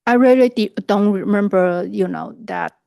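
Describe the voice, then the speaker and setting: Halting gravelly woman, Cantonese native. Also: mid-range voice, dark tone, no echo, clean studio sound. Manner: halting, searching for words. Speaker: witness. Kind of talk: court testimony. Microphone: video call.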